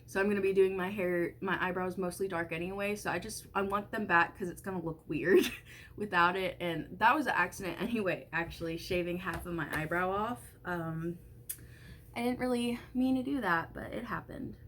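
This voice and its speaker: A woman's voice: fairly low pitch, moderate pace, fairly bright tone, slight background noise. A young woman in her early twenties with a stuffy, congested voice, chatting casually while doing her makeup at home, rambling with lots of fillers and the odd swear. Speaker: vlogger. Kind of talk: casual get-ready-with-me chat.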